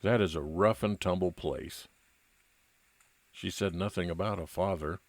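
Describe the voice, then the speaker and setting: A man's voice: low voice, a little slow, dry recording. A man playing a wry, world-weary private investigator in an old-time radio noir detective drama. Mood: reflective, wry.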